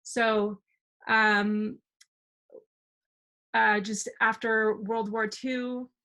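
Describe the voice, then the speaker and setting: A woman's voice: fairly high pitch, little pitch movement, extremely slow, pushed and bright, rough recording. A young woman, a documentary filmmaker, telling a historical story at an online community meeting over a video call, earnest and full of fillers.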